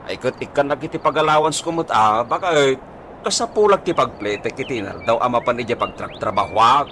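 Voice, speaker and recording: Bright-voiced, plaintive Ilocano husband. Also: male voice, medium pitch, animated, brisk, slight background noise. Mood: protesting. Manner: hesitant, complaining. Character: hard-up, browbeaten husband, plaintive and meek. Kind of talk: radio comedy-drama acting.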